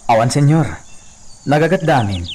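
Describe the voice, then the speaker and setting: A man's voice: on the high side, lively intonation, moderate pace, a little mellow, lightly acted. A man playing a loyal, deferential hacienda foreman with a Filipino accent in a radio drama. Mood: respectful. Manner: respectful, deferential. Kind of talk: Ilocano radio drama acting. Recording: distant mic, faint insect noise.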